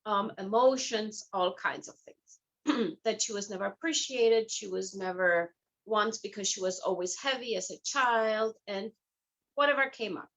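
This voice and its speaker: Female interview guest, coach type. Chatty, anecdotal, conversational delivery, medium pitch. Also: measured pace, fairly bright tone, poor audio. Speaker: guest, hypnotherapist and life coach.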